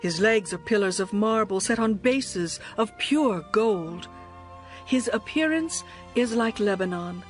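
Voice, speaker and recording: A woman plays a passionate young bride full of longing in a scripture drama. Her voice is medium in pitch, with poetic, expressive phrasing. Faint music runs underneath. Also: lightly acted. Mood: adoring. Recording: music behind the voice.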